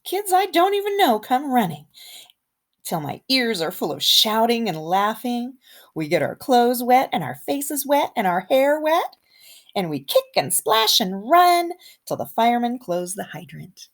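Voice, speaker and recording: A woman kindergarten teacher reading a picture book aloud to young children in an expressive way, with simple asides and questions. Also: a little high. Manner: animated, simple wording, pauses to comment and question.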